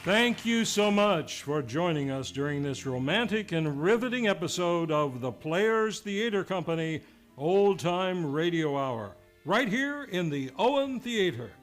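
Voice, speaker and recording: A man serves as announcer for a radio drama show. His voice is a little high and reads in a scripted presenting style. Light music runs behind him. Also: very animated, unhurried. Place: theater.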